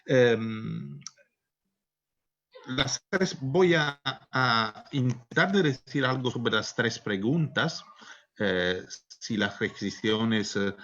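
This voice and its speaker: Halting man, Spanish, dull line. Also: medium pitch, fairly bright tone. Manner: halting, many fillers. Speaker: panelist. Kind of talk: online seminar talk. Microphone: video call. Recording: dull lo-fi video call.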